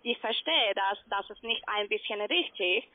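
A woman with a foreign accent and halting, broken German, pitching as a call centre sales agent. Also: no room sound. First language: not German. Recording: narrow crackly phone line, noisy.

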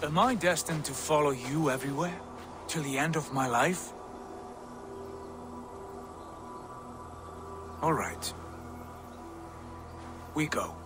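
An actor playing a proud, bitter viking warlord who speaks defiantly and grandly. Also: male voice, fairly high pitch, measured pace, lightly acted. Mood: weary. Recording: game audio with music behind.

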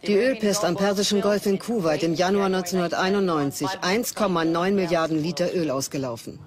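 A woman's voice: fairly low pitch, fairly quick, crowd noise, lightly acted. A woman in a dubbed documentary, explaining facts as an expert in a matter-of-fact way. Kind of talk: German documentary voice-over dub.

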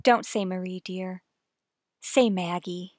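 A woman's voice: fairly low pitch, fairly even intonation, slow pace, dry recording, studio quality. A woman playing a devoted, gushing young wife in an old-time radio mystery. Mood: tender, gushing.

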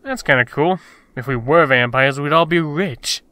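A bright, fairly high male voice with a dry, close sound, acted by a man. He plays a scheming, wisecracking rogue in a comic dub. He comes across brash, slangy and impatient. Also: normal speed, dry recording. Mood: cocky, scheming.

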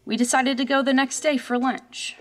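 A woman's voice: high-pitched, level tone, normal speed, close to an everyday voice. A woman playing an uneasy, skeptical young woman in a horror audio drama. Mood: wistful, recounting. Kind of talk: dramatic first-person storytelling and dialogue. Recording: music under the voice.

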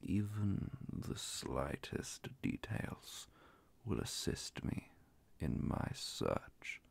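A man voicing a calm, courteous, scholarly vampire inquisitor in a soft-spoken ASMR roleplay, breathy and gravelly, with measured, formal speech and whispers. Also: deep voice, heavily acted.

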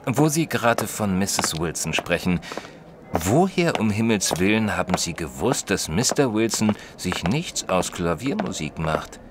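Deep-voiced, modest detective's companion. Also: male voice, big pitch swings, background noise. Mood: mildly puzzled. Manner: measured, literate storytelling. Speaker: voice actor, narrator in an audio drama. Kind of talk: German audio drama narration and dialogue. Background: music.

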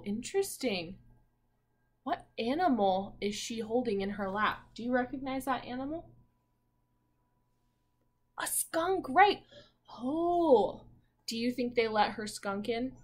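A young woman reads a picture book to children as their teacher. Her voice sits fairly high and moves slowly. She often stops to put simple questions to her listeners and leaves room for them to answer. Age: 20s. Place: small room at home, indoors.

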